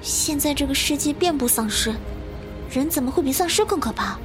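A woman's voice: high-pitched, lively intonation, brisk, over music. An actress playing an earnest young woman surviving a zombie outbreak in a radio drama. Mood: shaken. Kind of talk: dubbed Chinese radio drama acting.

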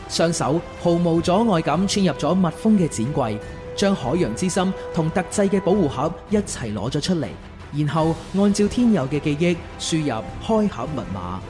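Man, narrator, high voice, dramatic scripted storytelling, lively intonation, loud music bed.

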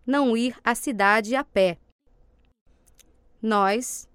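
A woman reading scripted language drill prompts as an instructor, with measured, deliberate enunciation. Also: a little high.

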